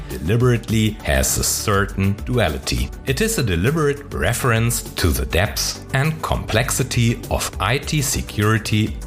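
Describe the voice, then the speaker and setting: A man hosting a podcast with an upbeat, promotional scripted introduction. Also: on the low side. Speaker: podcast host.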